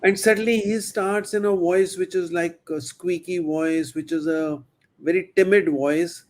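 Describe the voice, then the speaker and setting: A man's voice: sits high, steady tone, measured pace. Man hosting an interview, telling anecdotes. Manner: anecdotal, with frequent fillers. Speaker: host.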